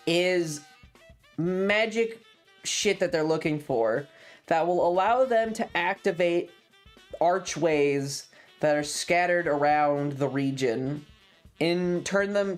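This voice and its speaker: A mid-pitched streamer of androgynous voice chats casually, swearing freely and retelling things with sardonic, acted-out dialogue. Video game music plays behind the voice. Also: a little mellow. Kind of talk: casual storytelling to stream chat.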